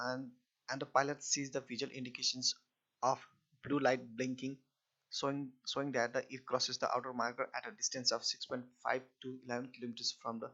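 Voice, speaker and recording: Man lecturing haltingly on a dull recording. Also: mid-range voice, bright, forceful tone. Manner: halting, repetitive phrasing with restarts. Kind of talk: explanatory technical lecture.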